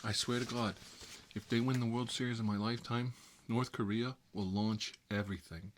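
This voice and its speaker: A man's voice: medium pitch, fairly even intonation, normal speed, a little hiss or hum, lightly acted. A man with an American accent playing a chatty, hapless fellow who lives with his mother, rambling in folksy, opinionated small talk. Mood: rambling, earnest. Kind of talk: improvised roleplay monologue.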